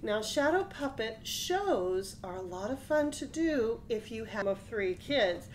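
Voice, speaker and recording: A woman's voice: on the high side, sing-song, measured pace, slight background noise, low-quality recording. A middle-aged American woman presenting a craft demonstration for children, explaining step by step in simple phrases in a small room.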